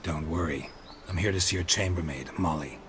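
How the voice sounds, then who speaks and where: An actor playing a stoic, laconic monster hunter in a fantasy video game, speaking in a terse deadpan. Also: male voice, low-pitched, a little fast, close to an everyday voice. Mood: dry. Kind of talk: video game dialogue acting. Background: music.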